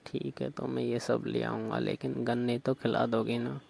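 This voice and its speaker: A man on a recorded phone call speaks in a gravelly, creaky voice at a mid pitch. He stays insistent and suggestive and keeps asking questions. His intonation is flat, with faint hiss on the line. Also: normal speed. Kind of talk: staged flirtatious phone call.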